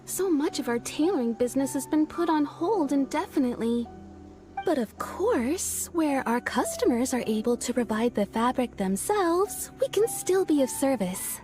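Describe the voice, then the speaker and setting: Brief: polite game shopkeeper, high and lively voice, measured and businesslike, faint music behind. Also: female voice. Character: courteous adult female shopkeeper, a businesslike tailor. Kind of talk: game voice acting.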